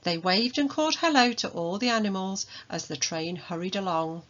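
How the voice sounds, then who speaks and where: A woman reading a children's storybook aloud as its author, in an expressive manner. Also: medium pitch, moderate pace, muffled recording. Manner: expressive, acts out dialogue.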